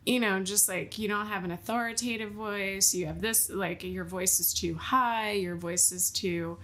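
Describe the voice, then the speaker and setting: A woman pastor with a deep, loud voice and an American accent, talking frankly and animatedly in a casual podcast conversation. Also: normal speed. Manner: frank, animated, laughs easily. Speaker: lead pastor.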